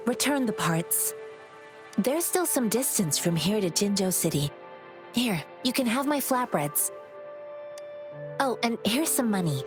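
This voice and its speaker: Serene principled young wanderer, measured delivery, mid pitch, music underneath. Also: female voice. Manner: serene, measured, speaks in proverbs. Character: calm young woman wanderer, kind and principled. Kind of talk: video game voice acting.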